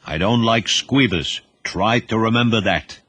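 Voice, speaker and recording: A man voicing a gruff, stern middle-aged businessman uncle in an audio drama. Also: on the low side, measured pace, sharp tone, no room sound, exaggerated voice.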